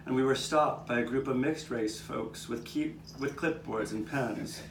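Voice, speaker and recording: A man playing an earnest storyteller from the 1800s, recalling hard times for his neighbors in a mid-pitched voice. He performs live and sounds roomy and set back from the microphone, with clear noise behind him. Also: measured pace, a bit bright. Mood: earnest recollection. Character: 19th-century Philadelphia man recalling his neighbors' struggles. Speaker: narrator of a puppet show. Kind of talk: scripted first-person historical narration, storytelling. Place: outdoor courtyard, live performance. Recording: roomy, distant microphone, noticeable background noise.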